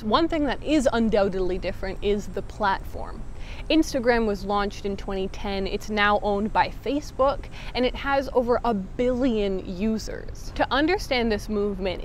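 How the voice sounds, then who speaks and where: A woman's voice: mid-range voice, slight background noise. Earnest young woman narrating a documentary. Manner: earnest, measured, explanatory. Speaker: documentary maker and interviewer. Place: outdoors by a stone wall. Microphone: lapel mic. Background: background music.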